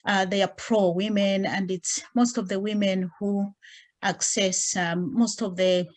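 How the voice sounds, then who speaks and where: A woman representing community groups who asks a panelist a question politely, with frequent pauses. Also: mid-range voice, fairly even intonation. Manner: polite and measured, with frequent uh fillers. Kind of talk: online panel discussion.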